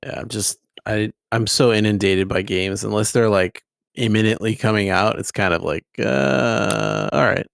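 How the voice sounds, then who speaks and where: A man with a low voice full of fry chats casually as a gaming podcast co-host. His audio is dry and free of room sound. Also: moderate pace, no room sound. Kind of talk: casual video game podcast chat.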